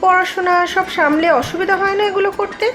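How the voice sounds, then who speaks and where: A woman voicing a kindly, curious train passenger in an animated story, friendly and appreciative in manner. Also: very high-pitched, fast, over music. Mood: approving. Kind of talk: Bengali animated story dialogue.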